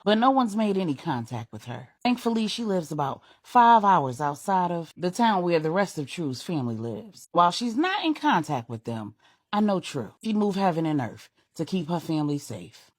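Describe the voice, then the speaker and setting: A woman's voice: lowish voice, no echo, studio quality. A woman voicing a caring, capable female crime family boss in a story, direct and sympathetic. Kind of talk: audio storytelling dialogue.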